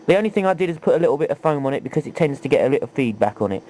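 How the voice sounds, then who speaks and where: A man's voice: fairly high pitch, expressive, rapid-fire, darkish tone. A man giving an informal, chatty hands-on tutorial, often asking rhetorical questions. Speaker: video presenter, hobbyist demonstrator. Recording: homemade video with faint background noise.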